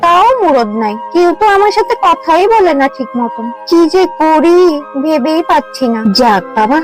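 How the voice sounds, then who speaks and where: High-pitched, vain village wife played by a woman. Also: animated, normal speed, faint music behind, poor audio. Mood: sulky grumbling. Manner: whiny, haughty, dramatic complaining. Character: vain, quarrelsome young village wife, proud of her beauty. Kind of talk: exaggerated cartoon dialogue.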